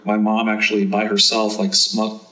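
A man with a low, bright, forceful voice, guesting on a podcast. He talks casually and tells his story in long, rambling runs full of fillers. He was born in Afghanistan and grew up in New York. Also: monotone, normal speed. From born in Afghanistan, raised in New York. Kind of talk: casual conversation.

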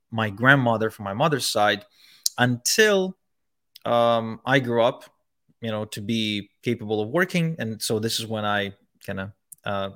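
A man's voice: lowish voice, fairly bright tone. Man from Ukraine telling his story. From Ukraine, later Israel. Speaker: podcast guest, online marketing entrepreneur. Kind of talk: conversational personal storytelling.

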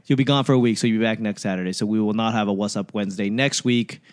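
A man's voice: on the low side, fast. A man hosting a podcast, chatting casually and teasing his co-host with profane jokes.

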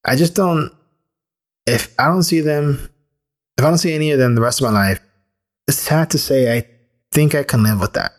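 A man's voice: a little high, normal speed, pauses often. A man in his thirties hosting a solo podcast, talking candidly and thinking aloud with restarts and the odd swear word. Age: 30s. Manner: casual with occasional swearing. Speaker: podcast host. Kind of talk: solo reflective podcast monologue.

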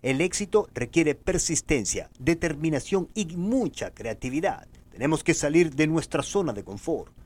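A man's voice: fairly high pitch, lively intonation, moderate pace, few pauses. A middle-aged man with a Venezuelan Spanish accent delivers a scripted motivational podcast monologue in an earnest, formal, exhortative manner.

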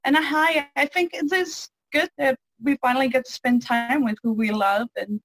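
A woman's voice: sits high, fairly even intonation, normal speed, a bit bright, no room sound, low-quality recording. Young woman, hesitant. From Netherlands. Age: 20s. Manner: hesitant, with frequent ums and restarts. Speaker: interview guest. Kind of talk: casual interview over a call.

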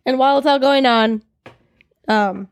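A woman's voice: sits high, a little slow, no echo, studio quality. A woman co-hosting a casual film discussion, enthusiastic and swearing casually.

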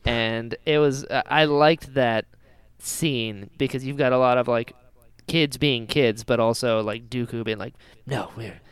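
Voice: medium pitch, measured pace, clear, resonant tone, no echo. A podcast host chatting casually about pop culture, animatedly slipping into imagined dialogue.

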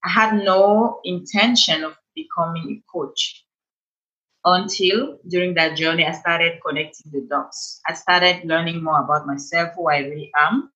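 A woman's voice: fairly low pitch, steady tone, measured pace, sharp tone. A woman from Cameroon with a Cameroonian accent, telling her story in a chatty, self-amused way as a podcast guest. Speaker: podcast guest, life coach. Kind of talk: casual interview talk. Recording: dull lo-fi remote line.

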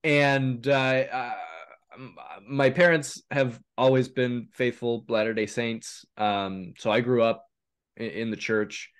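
A man in his thirties from eastern Oregon, a guest on a podcast, talking in a relaxed, halting way with many pauses and a voice a little raspy from a cold. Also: mid-range voice. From eastern Oregon, USA. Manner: relaxed, hesitant with frequent ums. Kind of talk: casual interview chat.

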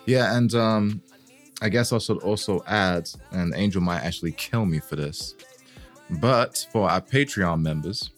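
A man's voice: sits low, a little slow, faint music behind. A young man co-hosting a podcast in loose, casual chat, joking and swearing easily. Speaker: podcast co-host.

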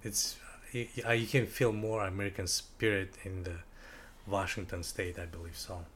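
Russian-accented man, halting English. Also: low-pitched, little pitch movement, a bit bright, slight background noise. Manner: halting learner's English, searching for words. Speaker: guest. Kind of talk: casual podcast chat.

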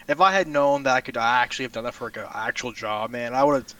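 A young man chatting casually as a podcast guest, excitable and laughing often. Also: mid-range voice, quick pace, lo-fi sound. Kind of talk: casual chat.

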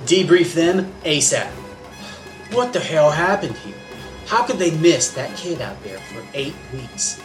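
A man playing a gruff, distrustful navy captain in an audio drama, with music behind him. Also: on the high side, lively intonation, a little slow. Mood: brisk commanding.

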